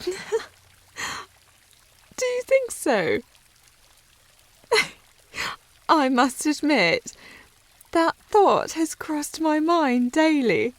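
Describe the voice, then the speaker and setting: A woman's voice: high-pitched, very animated, very slow, pauses often, dry recording, heavily acted. A woman playing a snobbish, teasing young lady with haughty, formal phrasing in a historical romance ASMR roleplay. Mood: amused, sardonic. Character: snobbish, teasing young upper-class lady, secretly fond.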